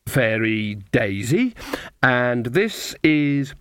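A timid storybook witch voiced by a man, earnest and halting. The woman sounds awkward and over-eager, hesitating as she tries to be nice to children. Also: mid-range voice, very animated, a bit bright, smooth, no echo, clean studio sound, full character voice. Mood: flustered, earnest. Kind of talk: audiobook character voice.

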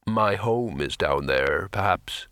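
A man voicing a huge sea troll in an audiobook story, speaking slowly and heavily, weary and menacing. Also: lowish voice, big pitch swings, vocal fry, fairly bright tone, dry recording, clean studio sound, heavily acted. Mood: slow, melancholy. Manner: slow, deliberate, heavy delivery.

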